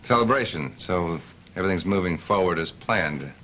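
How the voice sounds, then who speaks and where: An actor playing a genial family visitor who is friendly but probing, with faint ambience behind. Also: male voice, sits low, animated, normal speed, pushed and bright, a little hiss or hum.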